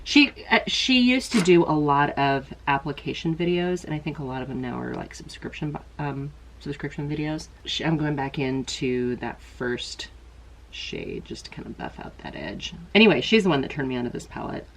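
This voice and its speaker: A middle-aged woman speaks in a low register, her voice slightly stuffy from congestion. She chats casually and rambles a little, poking gentle fun at herself as she talks through a makeup look. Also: sing-song, normal speed, creaky. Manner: chatty, rambling, self-deprecating. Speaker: beauty vlogger. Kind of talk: casual makeup tutorial chat.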